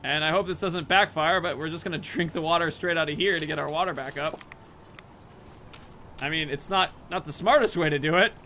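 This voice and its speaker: A man's voice: fairly high pitch, brisk, bright, forceful tone, a little hiss or hum. A man giving casual let's play commentary as a gaming host, rambling and thinking aloud.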